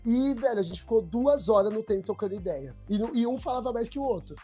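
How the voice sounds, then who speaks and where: Slangy São man, mellow tone. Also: high voice, fast, soft background music. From Brazil. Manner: slangy street talk, relaxed. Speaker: documentary maker, guest. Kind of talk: casual storytelling chat.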